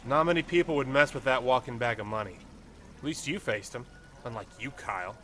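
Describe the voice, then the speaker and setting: A man's voice: medium pitch, fairly quick, fairly bright tone, a little hiss or hum, pitched above the performer's own voice, lightly acted. A man voicing an easygoing, confident young street gang leader with a teasing, slangy manner in an audiobook. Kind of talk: audiobook character voice.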